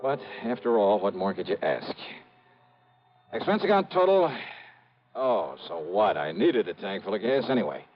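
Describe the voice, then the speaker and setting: An American actor playing a hard-boiled insurance investigator in a golden age radio drama, speaking in clipped phrases with dramatic pauses. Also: male voice, fairly low pitch, sing-song. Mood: wry narration. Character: male freelance insurance investigator, hard-boiled, self-critical, urgent. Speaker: lead actor. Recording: muffled vintage radio, loud noise.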